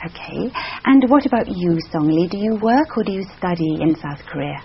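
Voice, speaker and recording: Woman examiner with very lively pitch. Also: vocal fry, slight background noise. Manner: measured, encouraging with frequent backchannels. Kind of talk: structured interview questions. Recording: dull lo-fi sound.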